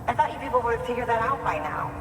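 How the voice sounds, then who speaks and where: A woman's voice: fairly high pitch, lively intonation, very fast, close to an everyday voice. An actress playing a hostile, scornful woman leader in a video game cutscene, speaking in a confrontational way. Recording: distant, echoey, with hall reverb and noise.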